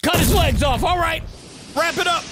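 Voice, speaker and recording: A man's voice: extremely high pitch, moderate pace, heavy background noise. A young man with headphones giving casual, exclamation-filled reaction commentary on a video. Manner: exclaiming, laughing, reading subtitles aloud. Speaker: YouTube reaction host. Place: indoor room. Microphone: studio mic with over-ear headphones. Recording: loud backing music under voice. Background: loud music from the reacted video.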